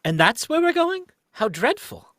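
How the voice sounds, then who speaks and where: A man's voice: very high-pitched, huge pitch swings, normal speed, bright, forceful tone, no room sound, heavily acted. A young man voicing a devoted, anxious wife and mother in a live radio play reading, earnest and melodramatic. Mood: curious, dismayed. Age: young adult. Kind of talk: live amateur reading of old radio script.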